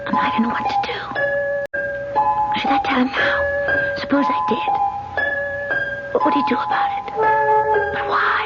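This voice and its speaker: Radio-play wife, hesitant and lively in pitch, dull recording under music. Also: female voice, full character voice. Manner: hesitant, guarded. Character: politician wife hiding a secret. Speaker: political candidate. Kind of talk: 1970s radio drama acting.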